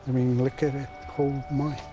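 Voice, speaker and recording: A man's voice: mid-range voice, level tone, moderate pace, lo-fi sound, music in the background. A man giving a reflective account in an interview. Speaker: local interviewee.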